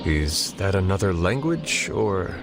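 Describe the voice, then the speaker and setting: An actor playing a stern young demon hunter in a video game, with a formal, terse and blunt manner. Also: male voice, deep voice, sing-song, takes it slowly, heavily acted. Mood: skeptical. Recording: loud music over the voice.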